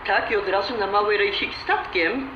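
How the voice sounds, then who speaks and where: An actress playing a playful, gushing middle-aged best friend in a radio drama, breezy and teasing. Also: female voice, medium pitch, normal speed, close to an everyday voice. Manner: breezy, drops English phrases. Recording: dull, echoey, faintly noisy radio-like sound.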